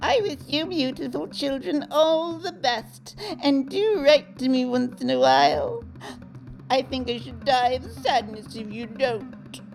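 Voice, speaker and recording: A man's voice: extremely high pitch, lively intonation, measured pace, creaky, soft background music, voice pushed up, full character voice, poor audio. A man voicing a giddy, scheming old witch who talks in a dreamy, sing-song way.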